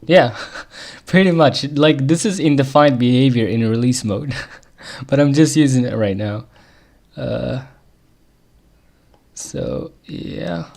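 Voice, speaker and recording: A man with an Arabic accent thinking aloud while debugging code on a live coding stream, muttering to himself with quick chuckles. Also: mid-range voice, creaky, a little mellow.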